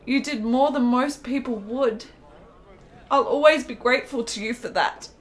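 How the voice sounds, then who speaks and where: A woman's voice: on the high side, moderate pace, natural voice. An actress playing a frail, lonely, intense sick woman in a period radio play, with earnest, emotional, formal phrasing. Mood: earnest, grateful.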